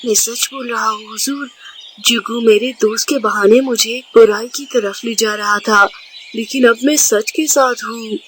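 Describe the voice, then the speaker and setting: A woman's voice: on the high side, steady tone, measured pace, a little hiss or hum, close to an everyday voice, low-quality recording. A woman voicing a remorseful young boy bird in a cartoon, earnest and confessional, anxious to be believed.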